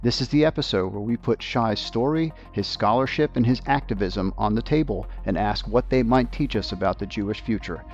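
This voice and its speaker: A man hosting a podcast, reading a scripted introduction in a measured, polished way. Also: lowish voice, lo-fi sound, soft background music. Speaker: podcast host.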